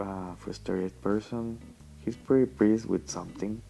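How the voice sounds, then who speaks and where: Young adult male, gravelly and dark-toned, meandering casual audio-log delivery, faint guitar underneath. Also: on the low side, rough recording. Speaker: vlogger. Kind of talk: casual rambling audio diary. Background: quiet plucked guitar music.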